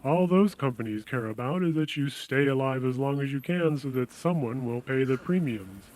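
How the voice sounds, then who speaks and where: A man playing a polite, persuasive insurance salesman in an audio drama, speaking in a measured, reassuring way. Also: mid-range voice, expressive, a little mellow, natural voice, rough recording. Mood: ominously reassuring. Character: smooth-talking, reassuring male death insurance salesman.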